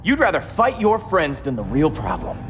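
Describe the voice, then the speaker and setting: An actor playing a defiant young assassin-trained vigilante in a video game, sneering and hot-headed. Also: male voice, a little high, wildly swooping pitch, moderate pace. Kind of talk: video game cutscene acting. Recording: loud music behind.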